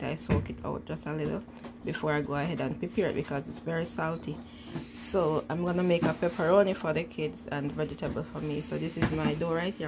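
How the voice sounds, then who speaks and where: Home-cook vlogger, woman, stuffy cold, deep gritty voice, chatty and repetitive. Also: gravelly, background noise. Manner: chatty, often repeats "yes, guys". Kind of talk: casual cooking demonstration.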